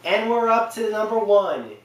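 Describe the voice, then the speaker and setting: A man's voice: sits high, lively intonation, normal speed. A man giving casual, enthusiastic but hesitant gaming commentary, full of fillers and restarts. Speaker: gaming video creator. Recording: roomy distant mic with faint hiss.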